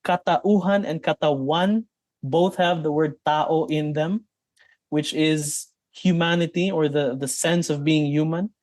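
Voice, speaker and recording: A man's voice: fairly high pitch, fairly even intonation, measured pace, darkish tone, dry recording. A Filipino man with a Filipino accent, a psychologist answering interview questions in an explanatory way. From Philippines. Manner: explanatory, mixes in Tagalog terms, many restarts. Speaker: psychologist guest.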